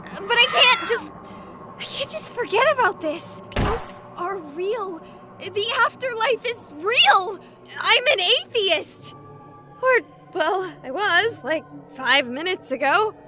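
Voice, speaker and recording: A woman's voice: very high-pitched, animated, slow, over music, heavily acted. An actress voicing a young woman streamer, skeptical and sarcastic but rattled by a haunting. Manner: sarcastic, flustered. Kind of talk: visual novel voice acting.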